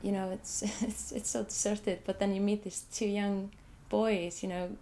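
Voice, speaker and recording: A woman's voice: mid-range voice, little pitch movement, a little slow, warm tone, a little hiss or hum. An Icelandic woman who speaks English as a second language. She is an artist talking hesitantly about her photographs, often repeating words and restarting sentences. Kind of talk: interview, describing her photographs.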